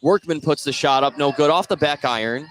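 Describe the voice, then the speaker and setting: Male play-by-play announcer, energetic live basketball commentary, rises on big plays, clear gym noise behind. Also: a little high, fairly quick. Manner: energetic, rising on scoring plays. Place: indoor gym at basketball tournament. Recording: noisy gym ambience behind voice. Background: gym ambience.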